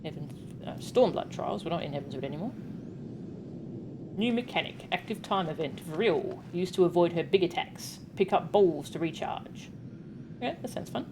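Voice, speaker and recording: A woman's voice: on the low side, expressive, normal speed, noisy background. A woman game streamer chatting casually to her viewers, informal and given to muttered asides. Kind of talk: casual live stream chat, reading game text aloud.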